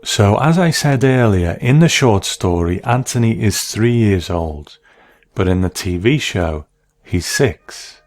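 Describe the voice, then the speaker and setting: A man hosting a show gives measured, thoughtful commentary in a conversational, analytical way. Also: on the low side, lively intonation, a little mellow. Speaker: podcast host reviewing a TV episode.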